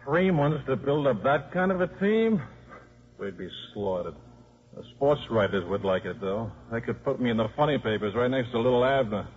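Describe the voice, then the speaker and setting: An actor playing a wry, cynical, fast-talking football coach and father in a 1950s radio drama. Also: mid-range voice, lively intonation, natural voice. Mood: wry, resigned. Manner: brash, slangy, wisecracking. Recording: muffled 1950s radio broadcast.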